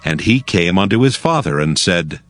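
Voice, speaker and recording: A man's voice: sits low, expressive, normal speed, fairly bright tone, faint music behind. A man giving solemn, scripted narration of scripture as the narrator of an audio drama.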